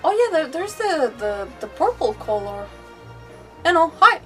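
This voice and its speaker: A woman with a very high voice chats casually as she streams a game. She rambles, restarts her thoughts and giggles easily. Music plays behind her, and the voice sits a little away from the mic. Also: very animated, measured pace, recorded from a distance. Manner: rambling, self-interrupting, giggly. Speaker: livestreamer, gamer host. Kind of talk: casual livestream chat.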